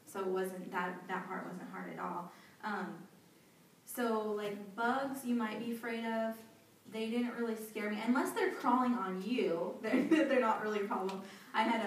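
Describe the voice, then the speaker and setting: Chatty young woman in an echoey hall. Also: medium pitch. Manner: chatty, animated, laughs often, many "you know" and "like" fillers. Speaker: interviewee, volunteer back from abroad. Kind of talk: conversational interview answers. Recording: echoey hall, distant mic, faint noise.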